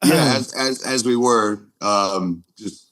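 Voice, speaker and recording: A man in his forties telling stories casually as a podcast guest, rambling and halting, with plenty of swearing. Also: mid-range voice, a bit bright, dry recording. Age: 40s. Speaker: podcast guest, punk band drummer.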